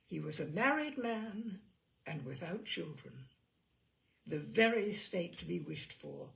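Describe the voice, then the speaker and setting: A woman reading a classic novel aloud as an audiobook narrator, in an expressive storytelling style. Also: lowish voice, slow, creaky.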